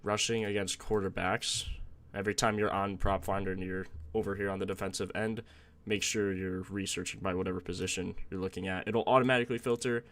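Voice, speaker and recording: A young man with a slightly raspy, sore-throated voice, hosting a casual live stream, thinking aloud and greeting viewers in the chat. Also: low-pitched, fairly even intonation, a little fast, fairly bright tone. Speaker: sports betting stream host.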